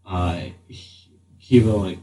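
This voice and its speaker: A man acting as game master, narrating a tabletop game in an improvised, halting way as he thinks aloud. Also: sits low, fairly even intonation, mellow, soft background music. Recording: slightly distant mic, faint room noise.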